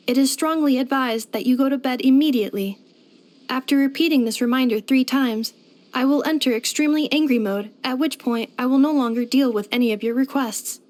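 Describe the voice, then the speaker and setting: A woman's voice: high voice, darkish tone, natural voice. A woman voicing a polite, helpful robot maid companion in a video game, speaking in a formal, precise, earnest way. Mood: polite, attentive. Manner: formal, precise, clipped system-report phrasing. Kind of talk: scripted video game character voice lines.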